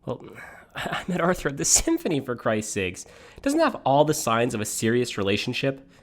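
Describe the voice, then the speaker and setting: Flustered, catty jilted man, swooping in pitch, acted. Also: wildly swooping pitch, normal speed. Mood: catty, exasperated. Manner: rambling, incredulous, campy complaining. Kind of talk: theatrical comic monologue.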